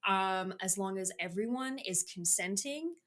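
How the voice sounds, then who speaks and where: Woman podcast cohost, casual and sarcastic, average pitch, chatty true crime style. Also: animated, measured pace, a bit bright. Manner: wry, sarcastic, swears casually. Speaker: podcast co-host.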